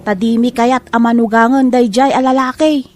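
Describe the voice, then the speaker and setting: A woman's voice: on the high side, steady tone, moderate pace, darkish tone, a little hiss or hum, natural voice. A woman playing a stern, disapproving mother of a grown daughter in an audio drama, with a Filipino accent. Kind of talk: Ilocano audio drama acting.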